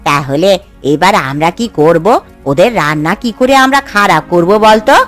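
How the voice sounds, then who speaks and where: A woman's voice: lowish voice, animated, moderate pace, faint music behind, natural voice. A woman voicing an envious, conniving village wife in a cartoon, prodding and anxious. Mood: envious worry. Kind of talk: cartoon voice acting.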